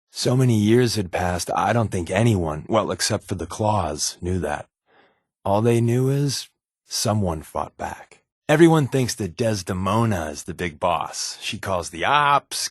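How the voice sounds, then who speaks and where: A secretive spy companion from a video game, glib and irreverent. His voice sits a little low and is recorded close and dry. He talks in a sardonic, offhand way, like someone used to working undercover. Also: husky, dry recording, heavily acted. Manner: sardonic, offhand, conversational delivery.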